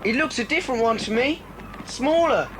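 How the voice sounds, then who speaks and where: A confident British schoolboy adventurer talks in a high voice. He is reassuring, in a children's drama with clear background noise. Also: animated, moderate pace. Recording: noisy old cassette recording.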